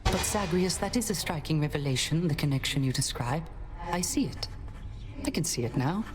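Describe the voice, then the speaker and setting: A man's voice: on the high side, lively intonation, normal speed, music in the background, natural voice. A man voicing a dreamy, credulous bard in a video game, speaking with florid, reverent wonder. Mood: amazed. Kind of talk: video game character acting.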